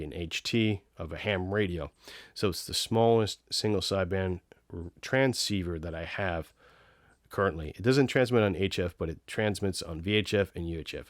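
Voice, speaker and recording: A man's voice: sits low, dry recording, studio quality. A man hosting a casual product review, explaining technical details in an informal way. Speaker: video host reviewing radios.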